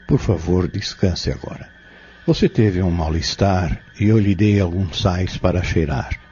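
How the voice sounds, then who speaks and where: An actor playing a kindly, solemn pharmacist and healer in a Brazilian audio drama, speaking in formal, measured, preacherly phrases with a Brazilian accent. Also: male voice, sits low, mellow, a little hiss or hum. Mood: calm, reassuring. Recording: muffled, dull lo-fi recording.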